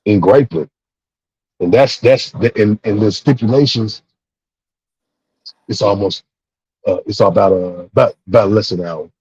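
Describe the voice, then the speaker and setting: Casual male panelist, husky and relaxed, halting but emphatic, swears freely, lo-fi sound. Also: lowish voice. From Texas, United States. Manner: emphatic, repetitive, halting phrasing. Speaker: discussion participant. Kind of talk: casual, profane panel discussion. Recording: dull, roomy, muffled lo-fi audio.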